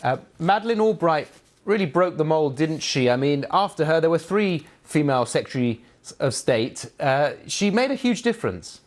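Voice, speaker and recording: A man's voice: on the high side, sing-song, moderate pace. A man presenting broadcast news and interviewing as a studio host.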